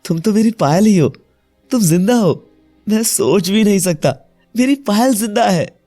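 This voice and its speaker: A man voicing a devoted, emotional young widowed father in a melodramatic animated moral story, speaking earnestly. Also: high voice, unhurried, faint music behind, pitched above the performer's own voice. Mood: astonished.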